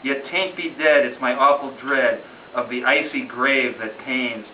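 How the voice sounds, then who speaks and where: A freezing old-time gold prospector, acted out by a man reciting a ballad, speaks in a plaintive, folksy drawl of drawn-out words. The recording is roomy and slightly dull, heard from some way off. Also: medium pitch, fairly even intonation, lightly acted. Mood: moaning, pleading. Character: freezing, dying gold prospector pleading his last wish. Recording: roomy distant muffled home recording, faint noise.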